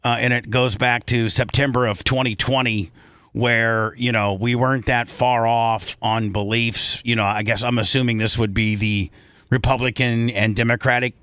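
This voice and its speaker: A man's voice: medium pitch, fairly even intonation, normal speed, fairly bright tone, clean tone, dry recording, studio quality. A man hosting a talk show in casual radio style, opinionated and repeating his points for emphasis.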